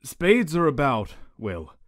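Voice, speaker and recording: A man's voice: mid-range voice, wildly swooping pitch, takes it slowly, fairly bright tone, no echo, studio quality, exaggerated voice. A man voicing a suave, condescending dice-headed cartoon casino manager who explains with a teasing drawl. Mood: suave, lecturing. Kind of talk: animated comic dub acting.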